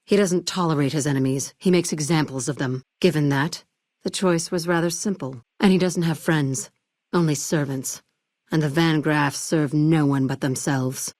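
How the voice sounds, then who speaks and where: A woman acting in a video game as a shrewd, coolly controlled arms dealer and crime-family boss, speaking in a measured, polished, commanding way. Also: low-pitched, a little mellow, dry recording, studio quality, natural voice. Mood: matter-of-fact disdain. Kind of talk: scripted game dialogue acting.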